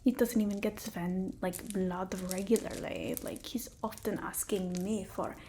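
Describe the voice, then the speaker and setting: A woman voicing a haughty, fussy vampire mistress in a breathy ASMR roleplay, with an imperious, complaining manner. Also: medium pitch, steady tone, a little hiss or hum, close to an everyday voice. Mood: haughty, gossipy. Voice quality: soft, breathy whisper. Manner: slow, imperious, fussy complaining with drawn-out words. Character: haughty, fussy female vampire mistress scolding her human familiar. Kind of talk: ASMR roleplay, mostly whispered.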